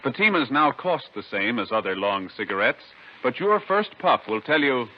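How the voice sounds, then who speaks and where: A man's voice: mid-range voice, very animated, normal speed. An American man announcing in a formal, emphatic, scripted vintage radio style. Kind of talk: scripted 1950s radio announcing and sponsor ads. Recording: dull vintage radio, loud music. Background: music.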